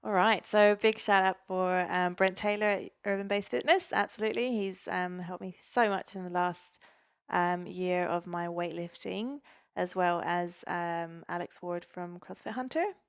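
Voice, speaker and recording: A woman's voice: on the low side, measured pace, clear, resonant tone, no room sound, studio quality. A young woman from Norway, a physiotherapist guest on a podcast, chatting casually with lots of ums and easy laughs. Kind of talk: casual interview chat.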